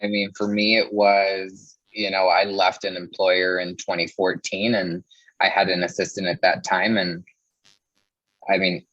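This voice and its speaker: A man with a fairly low voice talks in a dry, slightly muffled recording. He answers interview questions casually, reflecting on his business in informal phrasing. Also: flat delivery, a little slow, a bit bright, no room sound. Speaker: mortgage company co-founder, interview guest.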